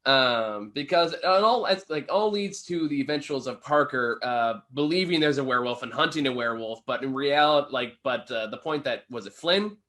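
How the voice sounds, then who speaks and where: A man's voice: mid-range voice, normal speed, hardly any pauses, pushed and bright. A man casually discussing a film with a co-host as a reviewer, opinionated and swearing freely.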